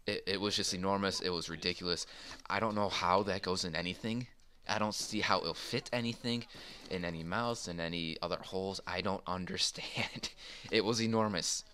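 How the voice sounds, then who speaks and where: A man's voice: deep voice, fairly even intonation, normal speed, sharp tone. A young man rambling casually through a personal story in an informal, crude, amused way. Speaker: storyteller in a gaming video. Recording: faint background noise.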